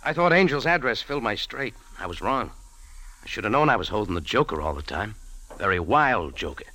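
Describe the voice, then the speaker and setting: An actor playing a tough, wry adventurer and café owner, narrating in punchy hardboiled style for 1940s radio. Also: male voice, fairly low pitch, big pitch swings, brisk, bright, forceful tone, exaggerated voice. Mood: cool, wry. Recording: 1940s radio recording with prominent music.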